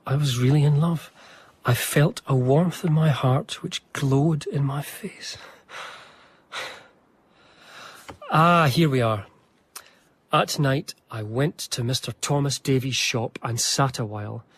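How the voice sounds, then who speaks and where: An actor playing an eager, reverent young gentleman diarist in a radio drama, speaking formally and effusively. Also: mid-range voice, measured pace, slightly rough. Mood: musing, eager. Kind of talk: radio drama dialogue and diary narration.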